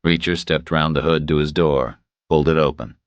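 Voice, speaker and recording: A man's voice: rumbling low, steady tone, normal speed, no room sound, lo-fi sound. A man reading a scripted thriller as an audiobook narrator.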